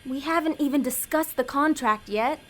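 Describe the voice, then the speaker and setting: A woman's voice: high voice, moderate pace, natural voice. An actress playing a bossy, scheming female demon in an audio drama, blunt, slangy and impatient.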